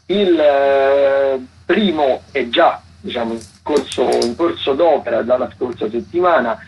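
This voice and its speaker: A man, a theatre critic giving a halting, rambling, unscripted radio rundown of events. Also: mid-range voice, breathy edge. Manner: halting, rambling, filler-heavy. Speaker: theatre critic, weekly listings contributor. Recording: dull lo-fi line, faint noise.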